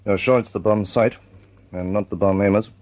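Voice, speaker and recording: An actor playing an authoritative senior air force officer in an old radio drama, with a dry humor. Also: male voice, on the low side, fairly even intonation, a little fast, darkish tone, natural voice. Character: senior RAF air vice marshal, older man. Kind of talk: 1940s radio acting.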